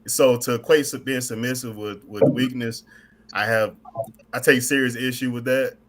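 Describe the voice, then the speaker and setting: Earnest man co-hosting, mid pitch, halting delivery. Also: steady tone, fairly bright tone. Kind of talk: casual chat.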